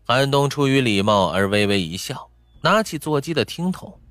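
A man's voice: medium pitch, sing-song, moderate pace, fairly bright tone, no room sound. A man narrating fiction from a script as a dramatic audiobook. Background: faint background music.

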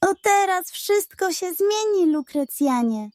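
A woman's voice: extremely high pitch, animated, slow, a little mellow, dry recording, clean studio sound, voice pushed up, exaggerated voice. A woman voicing a sweet, plucky young candy princess with a spirited, encouraging manner. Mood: triumphant.

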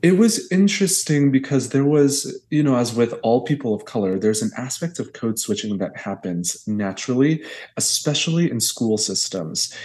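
A man's voice: mid-range voice, a little mellow. A man in his thirties from Texas, a guest choral conductor talking earnestly in a podcast interview over a video call from a small bedroom. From Houston area, Texas. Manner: earnest, reflective, speaks in long restarted sentences. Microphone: earbuds on a video call.

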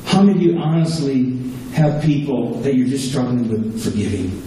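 A man's voice: medium pitch, animated, moderate pace, dark tone. A man preaching a sermon as pastor in a small church, earnest and repeating key phrases for emphasis, recorded from a camera at the back. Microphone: far camera at back of room. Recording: echoey room, distant camera, faint hum.

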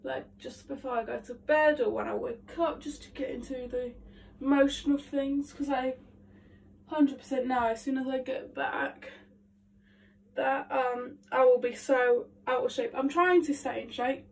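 A young woman vlogging her vocal warm-up at home, chatty and full of ums, her voice nasal and congested from hay fever. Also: high-pitched, fairly even intonation, unhurried, gravelly. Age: young adult. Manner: chatty, self-talking, lots of ums. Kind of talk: casual vocal warm-up vlog. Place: small attic bedroom at home. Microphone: phone camera across the room. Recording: faint room noise, distant from phone.

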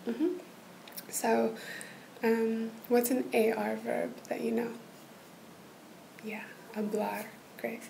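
A woman in a whispered tutoring roleplay, patiently walking a listener through a lesson in a soft, encouraging whisper. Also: on the high side, flat delivery, takes it slowly, gravelly, pauses often, slight background noise, low-quality recording. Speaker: language tutor.

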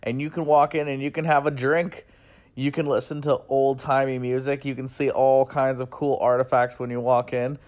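A man's voice: medium pitch, a little mellow. A young man talking casually and enthusiastically while he records a road-trip vlog. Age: young adult. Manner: enthusiastic, easygoing. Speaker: travel vlogger. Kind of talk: casual travel vlog with voice-over. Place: outdoors, windy open land. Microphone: phone camera at arm's length. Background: wind.

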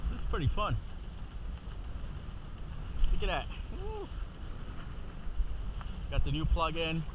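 A man's voice: on the high side, huge pitch swings, takes it slowly, lo-fi sound. A man giving casual running commentary from a small sailboat, whooping and calling out to people ashore, recorded on a boat-mounted action camera. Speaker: sailor filming his own boat trip. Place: small sailboat out on open water. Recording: loud wind and water noise.

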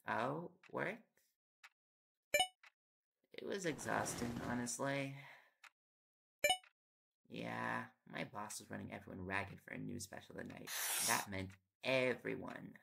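Man acting a gravelly, affectionate young boyfriend. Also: lowish voice, takes it slowly, pauses often, full character voice. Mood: tired, chatty. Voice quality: gravelly, creaky. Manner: playful coaxing, chatty. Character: affectionate, teasing young male reporter boyfriend. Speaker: audio roleplay voice actor. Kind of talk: intimate boyfriend audio roleplay, sleep aid. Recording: loud background noise with clicks. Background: ticking and clicking sounds.

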